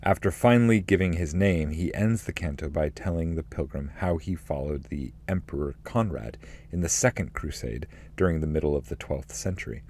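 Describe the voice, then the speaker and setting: Low-pitched guy narrating, reads classic poetry, measured and formal. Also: darkish tone. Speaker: narrator, reader. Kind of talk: scripted literary narration and poetry reading.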